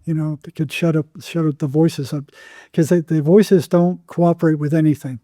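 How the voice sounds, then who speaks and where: Older man, very dark mellow voice. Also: fairly high pitch, steady tone, no room sound, clean studio sound. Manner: halting, repeats words, acts out remembered exchanges. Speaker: psychotherapist guest. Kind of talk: conversational storytelling in an interview.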